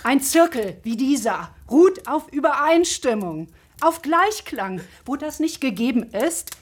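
A woman's voice: high voice, expressive, unhurried. An actress playing a stern woman who leads a reading circle and speaks in curt, reproving tones. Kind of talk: radio drama acting.

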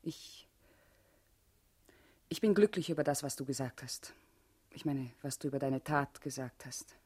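An actress playing a guarded, urgent female party comrade in a German radio play, speaking gravely and deliberately with a husky, intimate voice. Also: extremely low pitch, a little mellow, heavily acted. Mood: urgent, pleading.

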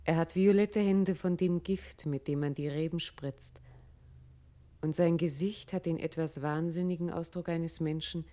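A woman narrating a radio play in a measured, literary and descriptive way. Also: low voice, mellow.

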